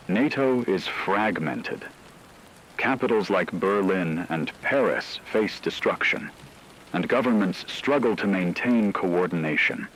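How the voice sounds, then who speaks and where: Man playing a low, grave wartime radio newsreader. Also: fairly bright tone, slight background noise, rough recording. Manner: solemn, measured, ominous. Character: grave male radio newsreader in a world war. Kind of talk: scripted breaking news bulletin.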